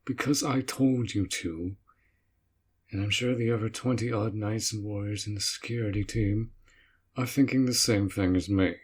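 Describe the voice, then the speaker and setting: A man playing a dutiful, protective orc general in a roleplay audio drama, speaking in a measured, earnest way with a tender side. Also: fairly low pitch, breathy edge. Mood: stern, exasperated. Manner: slow, measured, earnest, with sighs.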